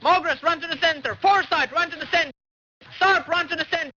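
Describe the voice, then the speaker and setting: A man with an extremely high, piercingly bright voice barks orders as a game raid leader. He is angry, profane and repetitive, heard through dull lo-fi voice chat. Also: fairly quick. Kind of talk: barking orders during a game.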